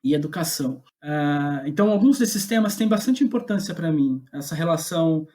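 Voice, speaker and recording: A man with a Brazilian Portuguese accent speaking as an interview guest, casual and reflective, halting as he thinks aloud. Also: fairly high pitch, lively intonation, warm tone. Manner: hesitant, halting, thinking aloud with repeated words. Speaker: podcast guest, playwright.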